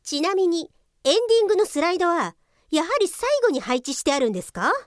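A woman playing an exasperated, sharp-tongued office police officer in a comedy radio drama, with blunt scolding retorts. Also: extremely high pitch, sing-song, fast, no room sound, studio quality. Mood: interrogating.

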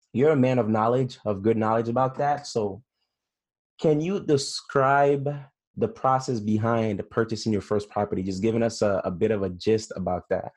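A man's voice: fairly low pitch, a little mellow. A man in his late twenties hosting a casual interview, speaking in a friendly, encouraging way. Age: 20s. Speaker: host.

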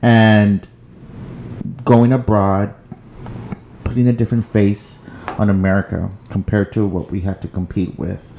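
A man's voice: deep voice, creaky, warm tone, a little hiss or hum. A man hosting a conversational interview, putting questions and comments to a guest. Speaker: interviewer, podcast host.

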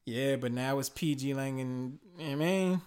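A man chatting casually about music and movies in a slangy, animated way, laughing often. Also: mid-range voice, unhurried, clean tone.

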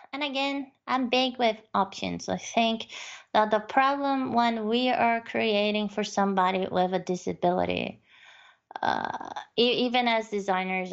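A woman talks in an easy, chatty way and keeps restarting her sentences as she shares stories from her work. Her voice sits at an ordinary middle pitch. The recording sounds a little dull and lo-fi. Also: vocal fry. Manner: chatty, lots of fillers and restarts, tells anecdotes. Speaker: accessibility researcher and guest. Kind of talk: casual conversation.

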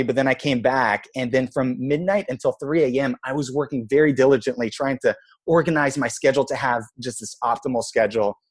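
Man in his thirties, from Israel, interview guest, easygoing, reflective, casual storytelling. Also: medium pitch. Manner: relaxed, reflective, frequent "like" and "you know".

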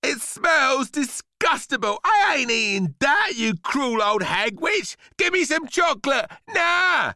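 A bratty, greedy little boy from a children's storybook, pitched high and wildly animated. He whines in cheeky slang and flares into sharp, defiant outbursts. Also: takes it slowly, few pauses, no echo, studio quality, full character voice. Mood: disgusted, furious. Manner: slangy, whiny, explosive outbursts.

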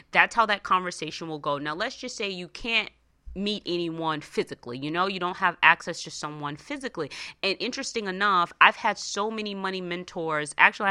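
Bright-voiced woman giving an animated motivational talk. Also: on the low side, dry recording, studio quality. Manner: animated, emphatic, acts out imagined dialogue; punctuates points with check-in questions. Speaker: radio show host and money coach. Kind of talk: motivational talk to listeners, conversational.

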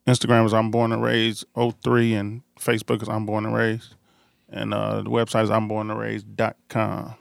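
A man's voice: fairly low pitch, completely flat delivery, moderate pace, creaky, clear, resonant tone, no room sound, clean studio sound. A man with an American accent speaking as a podcast guest in casual, matter-of-fact, slangy sports chat.

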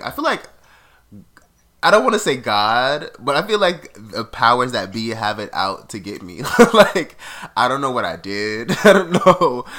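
Lively sick man hosting a podcast. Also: mid-range voice. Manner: animated, laughing storyteller. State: sick, slightly nasal. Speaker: podcast co-host. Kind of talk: casual podcast chat.

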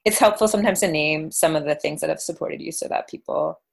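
A woman interviewing casually and gently, tentatively rephrasing her questions. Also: sits low, quick pace, creaky, slightly rough.

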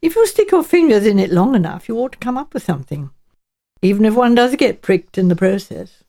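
A woman's voice: mid-range voice, sing-song, fairly quick, dark tone. A woman voicing an elderly English lady sleuth in an audiobook, fluttery and polite, speaking in a hesitant, genteel, musing way. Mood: musing, uncertain. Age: older.

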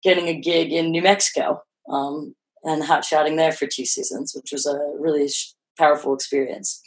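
Guest, woman, Philly-Jersey accent; low, husky voice; loose, swearing chat. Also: moderate pace, rough recording. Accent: Philadelphia-New Jersey. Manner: low-voiced, animated, sprinkles "like" and swears freely. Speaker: podcast guest. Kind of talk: casual interview chat.

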